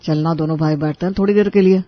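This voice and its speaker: A man's voice: fairly high pitch, fairly even intonation, fast, dry recording, lo-fi sound, natural voice. A man voicing a henpecked, complaining elder brother in a Hindi cartoon, talking in a familiar, plaintive way. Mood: concerned. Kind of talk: dramatic Hindi cartoon dialogue.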